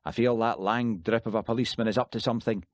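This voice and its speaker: A chatty villager, scornful and gossipy, as a male reader plays him. He talks in a low, close, dry voice. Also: fairly even intonation, fast, dry recording, natural voice. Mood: mocking. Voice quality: mocking, gossipy. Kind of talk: audiobook character voice.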